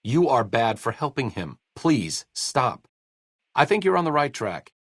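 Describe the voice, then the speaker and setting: An actor playing a sarcastic, idealistic wasteland doctor in a video game, with an American accent and a dry, articulate manner. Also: male voice, mid-range voice, big pitch swings, measured pace, a bit bright, husky, no echo, full character voice. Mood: sarcastic, disapproving. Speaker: video game companion character. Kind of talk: video game voice acting, conversational dialogue.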